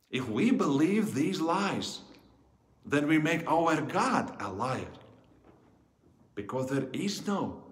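A man in his forties preaching a sermon as a pastor, solemn and deliberate, phrase by phrase, in a church. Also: a little high. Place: indoors in a church, before the altar. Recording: echoey church hall with long reverb.

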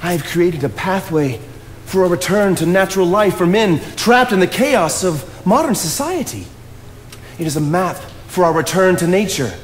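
An earnest young naturalist, solitary and intense, speaking in a philosophical, declamatory way with a slightly high voice. The lines ring through a theatre hall with long reverb and a faint ambient hiss from the stage mics. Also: male voice, lively intonation, normal speed. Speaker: stage actor. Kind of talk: stage play acting.

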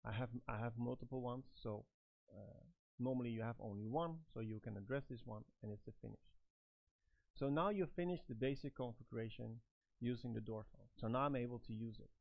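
A middle-aged man giving step-by-step product instructions in a halting, phrase-by-phrase manner. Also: medium pitch, creaky, no echo, narrow, tinny audio. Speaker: product trainer and presenter.

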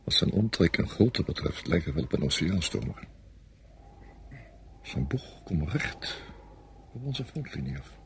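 Coldly calculating senior officer, low husky voice, very varied intonation, matter-of-fact authority, radio drama. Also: male voice, big pitch swings, unhurried, pauses often, a little hiss or hum. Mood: coolly calculating. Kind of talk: Dutch radio play acting.

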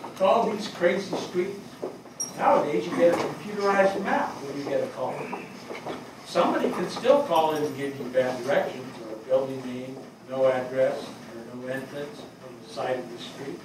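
An older man playing a grieving, plain-spoken fire captain in a staged play reading, halting and weary. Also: mid-range voice, natural voice. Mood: somber. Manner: halting, hesitant delivery. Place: small theatre stage before a seated audience. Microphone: distant camera microphone. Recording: very echoey hall, far microphone, noisy.

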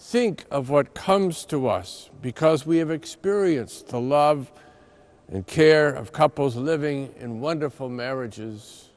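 A man in his seventies with an American accent, a priest reading a scripted reflection in a measured, solemn manner with deliberate emphasis. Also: a little high, a little mellow. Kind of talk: scripted reflection read aloud.

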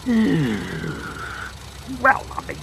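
A man's voice: fairly high pitch, wildly swooping pitch, extremely slow, creaky, background noise, exaggerated voice. A man voicing a bumbling, childish grown man in a cartoon, talking in mumbles and grunts. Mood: grumbling. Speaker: lead cartoon character. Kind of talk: cartoon voice acting. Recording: music score behind.

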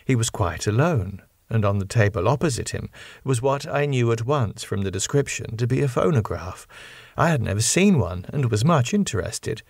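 A male audiobook narrator reads a classic novel with scripted drama. His pitch is medium, and the close recording is very dry, with little room sound. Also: expressive, normal speed, a little mellow, husky, dry recording. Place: quiet dry recording space.

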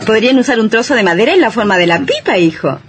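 An actress playing an affectionate, mildly teasing young wife in an old radio drama. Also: female voice, on the high side, sing-song, quick pace, breathy edge. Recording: noisy old radio recording.

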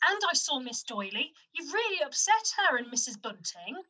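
A woman's voice: high voice, sing-song, moderate pace, pushed and bright, heavily acted. A woman playing an earnest, forthright youngster in a radio pantomime. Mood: accusing.

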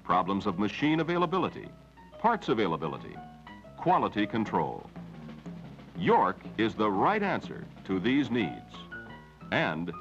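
A middle-aged man reading a measured, promotional script as narrator of a company film, on a dull 1970s film soundtrack. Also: fairly low pitch, huge pitch swings, fairly bright tone, soft background music.

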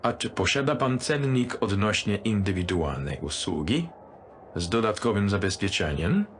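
A man playing a demanding dignitary customer in a dark fantasy audio drama, speaking in a cool, curt and haughty manner. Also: fairly low pitch, very animated, moderate pace, a little hiss or hum.

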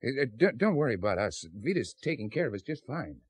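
Husky, animated upright friend. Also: male voice, mid-range voice, quick pace, dry recording. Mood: concerned. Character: upright, concerned male friend. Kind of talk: 1970s British radio drama acting.

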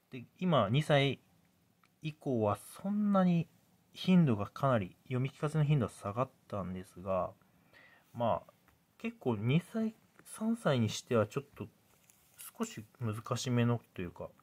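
A man in his thirties talks casually as a parent vlogger, explaining things in a hesitant, think-aloud way with trailing phrases. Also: medium pitch.

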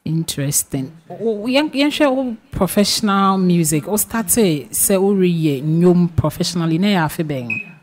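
A woman's voice: on the low side, expressive, a little slow, darkish tone. A young woman with a Ghanaian accent, interviewing conversationally as a radio host in a studio. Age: young adult. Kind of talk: conversational interviewing in mixed languages. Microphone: boom-arm studio microphone, close. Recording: faint background noise.

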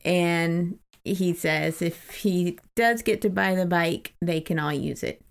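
Woman, slightly low voice, podcast co-host, casual book recap, amused informal delivery. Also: slow pace, gravelly, clear, resonant tone.

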